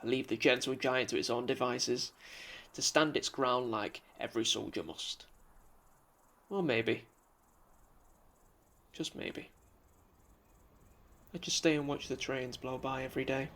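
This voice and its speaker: A man's voice: medium pitch, measured pace, gravelly, pauses often, fairly bright tone. A man playing a young, dreamy soldier in a radio drama, meek and homesick. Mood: wistful.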